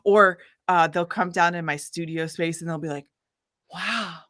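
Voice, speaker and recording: A woman's voice: fairly low pitch, measured pace, fairly bright tone. A woman musician being interviewed, talking in a sunny, bubbly and informal way. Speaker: interview guest, singer-songwriter. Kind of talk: casual interview chat.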